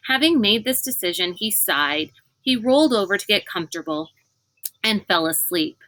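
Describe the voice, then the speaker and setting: A woman's voice: medium pitch, big pitch swings, normal speed, a bit bright, no echo. A woman reading a children's novel aloud as scripted narration. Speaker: storybook reader.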